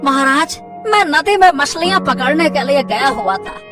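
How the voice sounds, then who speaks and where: A woman voicing an excitable, earnest young village fisherman in a Hindi cartoon, exclaiming and repeating words urgently. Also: sits high, moderate pace, far from the mic, music in the background. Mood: urgent, respectful. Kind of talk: Hindi cartoon moral story acting.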